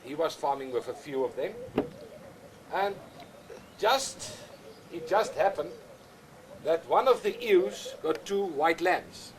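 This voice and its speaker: An older man with an Afrikaans accent, whose first language is Afrikaans, talking plainly and repetitively in halting English as a goat breeder outdoors on a farm. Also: fairly high pitch, animated, a crowd in the background. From South Africa. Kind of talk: casual explanation on a farm.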